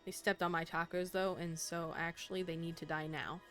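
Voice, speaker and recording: A woman's voice: fairly low pitch, level tone, brisk, music in the background. A young adult woman streaming a game, chatting casually in a playful, teasing way and laughing often.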